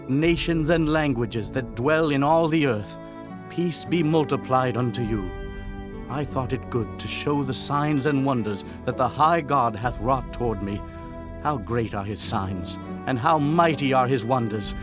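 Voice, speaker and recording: A man's voice: mid-range voice, natural voice. An actor playing a proud ancient king, speaking in an archaic, declamatory way over a music bed. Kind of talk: dramatized scripture reading. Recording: clear music bed behind.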